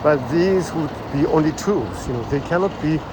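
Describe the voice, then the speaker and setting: A man with a Japanese accent, thinking aloud in a halting way, full of fillers, while walking along a busy city street. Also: fairly high pitch. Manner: thoughtful, halting, many fillers. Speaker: vlogger. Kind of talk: musing monologue while walking. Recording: loud street traffic behind.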